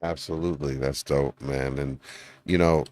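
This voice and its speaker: A man's voice: a bass voice, fairly even intonation, normal speed, a little mellow, no echo, clean studio sound. A man hosting a podcast interview, laid-back and slangy, often affirming his guest as he asks questions. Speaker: podcast host.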